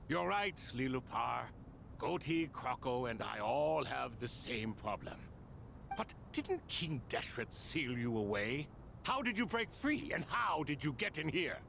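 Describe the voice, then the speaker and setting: A man's voice: mid-range voice, big pitch swings, slow pace, gravelly, sharp tone, slight background noise, heavily acted. An actor voicing a genial, courteous ancient male spirit lord in a video game, reasoning with those around him. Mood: bemused. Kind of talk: scripted video game voice acting.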